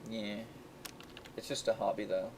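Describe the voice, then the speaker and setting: A man's voice: lowish voice, level tone, a little slow, background noise. A young man, a game developer, rambling in casual chat, often restarting his sentences and laughing at himself. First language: English.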